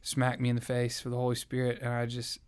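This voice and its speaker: Young guy telling his faith story, earnest, smooth voice, pretty even tone. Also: medium pitch, fast. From American South. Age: young adult. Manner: earnest, reflective. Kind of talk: personal testimony in conversation.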